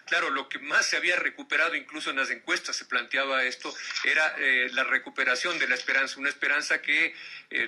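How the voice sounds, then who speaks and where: A man with an Ecuadorian accent hosting a radio interview, phrasing things in a deliberate, drawn-out way. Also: medium pitch, bright, forceful tone. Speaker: radio host.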